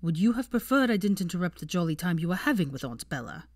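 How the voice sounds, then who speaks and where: A woman's voice: on the low side, very animated, fast, no room sound, studio quality. A woman reading the part of a bitter, defensive seventeen-year-old wizard boy with a cold temper in an audiobook story. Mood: cold, cruel. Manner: profane, bitter, arguing in long bursts. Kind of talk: fan fiction audiobook character dialogue.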